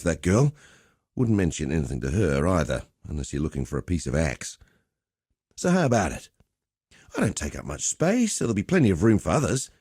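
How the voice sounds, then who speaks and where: A roguish gladiator master from a video game, easygoing and cocky. His mellow voice swings freely in pitch as he teases and goads with wry swagger. The recording is close and dry. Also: no echo. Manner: wry, teasing, conversational swagger. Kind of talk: video game character dialogue.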